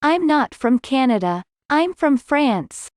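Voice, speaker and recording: A woman reading scripted English lesson dialogue, slowly and clearly, in carefully spaced sentences. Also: high-pitched, very animated, dry recording.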